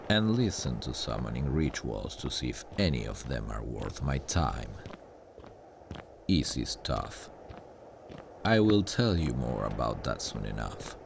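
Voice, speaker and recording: Man voicing a very deep, gravelly demon guardian. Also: full character voice. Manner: smug, dryly menacing, unhurried. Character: sardonic, possessive male demon guardian of hell. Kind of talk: ASMR roleplay, slow intimate monologue. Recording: noisy ambient sound bed. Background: hellish ambience.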